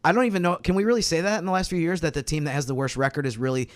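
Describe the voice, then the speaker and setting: A man in a casual sports discussion, reasoning through his argument in a measured way. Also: fairly high pitch, steady tone. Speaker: sports podcast panelist.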